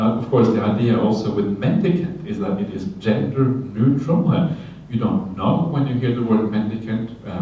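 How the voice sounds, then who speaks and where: Monk in an echoey hall. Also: male voice, lowish voice, a little hiss or hum. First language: Norwegian. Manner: relaxed, checks in with listeners with "yeah?", light humorous asides. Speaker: Buddhist monk teacher. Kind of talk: dharma talk on a sutta. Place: retreat hall. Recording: very echoey hall, voice from a distance, faint ambience.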